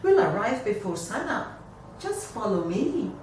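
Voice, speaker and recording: A woman's voice: medium pitch, sing-song, unhurried, a little mellow. A woman voicing a kind female animal friend in a children's storybook, reassuring and encouraging. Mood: comforting. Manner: comforting, encouraging. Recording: echoey room, distant mic, faint noise.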